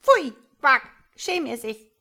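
A woman's voice: on the low side, lively intonation, moderate pace, pushed and bright, heavily acted. A woman voicing an old mother frog in a storybook, tearful, scolding and old-fashioned, with quacking interjections. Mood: furious scolding. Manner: scolding, lamenting, punctuated with croaky quacks.

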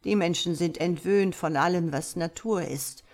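A woman voicing a wise, patient tomcat in a storybook, speaking in a measured, gently instructive and slightly pompous way. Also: lowish voice, close to an everyday voice. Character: wise, patient male tomcat, calm mysterious mentor. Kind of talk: storybook character voice.

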